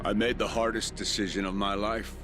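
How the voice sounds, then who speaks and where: An actor playing a middle-aged military commander and father in a video game, stern and seasoned, speaking in a grave, measured, authoritative way. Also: fairly low pitch, flat delivery, music in the background, close to an everyday voice. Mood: grim, resolute. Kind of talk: dramatic video game acting. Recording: loud cinematic score over the voice.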